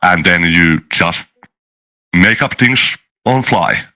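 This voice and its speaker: Deep, bright-voiced man answering hesitantly. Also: lively intonation, slow pace. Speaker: guest, tabletop game master. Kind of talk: casual interview answers.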